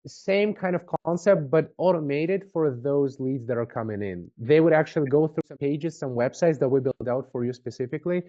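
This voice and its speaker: Mellow salesman on a dull-sounding call. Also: a little high, moderate pace, few pauses, dry recording. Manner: informal, questioning, checks in often. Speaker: sales representative for a marketing agency. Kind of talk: conversational sales call pitch. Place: online video call.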